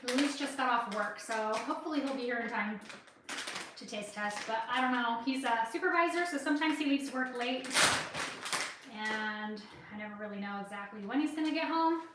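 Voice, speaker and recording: A woman's voice: fairly high pitch, moderate pace. Chatty woman cooking in an echoey kitchen. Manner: chatty, rambling, laughs often, sniffles. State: runny nose, sniffling. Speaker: cooking livestream host. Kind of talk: casual cooking livestream chat. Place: home kitchen. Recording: echoey kitchen, far from mic, background noise.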